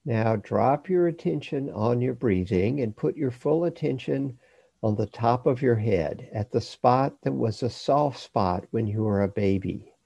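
Man, meditation teacher, mellow gravelly voice, measured short phrases, pauses, guided body scan instruction. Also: medium pitch, expressive, no echo.

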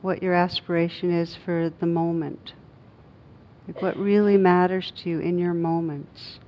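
A woman guiding a meditation as a teacher, speaking gently and unhurriedly in short phrases with long pauses. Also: flat delivery. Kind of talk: guided meditation. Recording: dull muffled recording with faint rumbling noise.

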